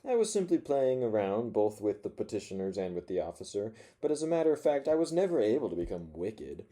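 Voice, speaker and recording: A man reading aloud as a spiteful, self-mocking forty-year-old who rants from his corner, swinging between low mutters and loud sardonic outbursts. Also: sing-song, fairly quick. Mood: sardonic ranting. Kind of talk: first-person audiobook reading.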